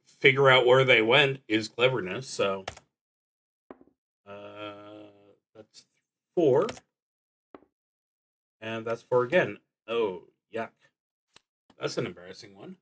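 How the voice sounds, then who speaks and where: A man streaming a solo tabletop roleplaying game, thinking aloud casually and often pausing mid-sentence. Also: medium pitch, fairly bright tone. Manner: halting, with frequent pauses mid-sentence.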